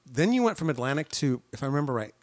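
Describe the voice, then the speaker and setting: A man hosts a podcast in a loose, informal conversational style. His phrasing is a bit halting. The sound is dry, with hardly any room in it. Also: medium pitch, big pitch swings, no room sound. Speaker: podcast host. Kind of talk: casual conversational interview.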